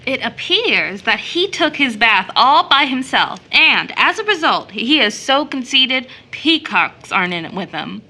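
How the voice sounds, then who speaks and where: A woman playing a doting, playful young woman who teases and gently corrects in an audio drama. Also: high voice, big pitch swings, unhurried, few pauses, exaggerated voice. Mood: amused, chiding. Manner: teasing, gently correcting, fond. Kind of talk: stage play audio drama acting.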